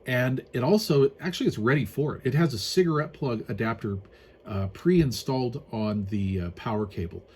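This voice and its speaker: A man's voice: medium pitch, lively intonation, moderate pace, a little mellow, breathy edge. A man giving a casual, unscripted hands-on product review in a folksy, plain-spoken way with colloquial turns.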